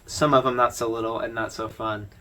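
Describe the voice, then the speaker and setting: A man's voice: medium pitch, little pitch movement, brisk, pushed and bright. A man hosting an informal explainer video, talking through his commentary in a conversational, wry way. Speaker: video host.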